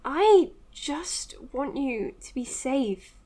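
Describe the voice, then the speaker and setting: A self-doubting young doctor in an audio drama. Her voice is high, and she speaks earnestly and hesitantly, with real care. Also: expressive, very slow, mellow, exaggerated voice. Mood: firm, worried. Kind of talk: naturalistic audio drama acting.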